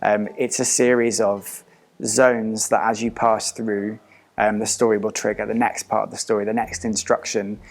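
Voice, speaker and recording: A man's voice: fairly low pitch, flat delivery, moderate pace. A man speaking as a theatre director, explaining a creative process in an interview.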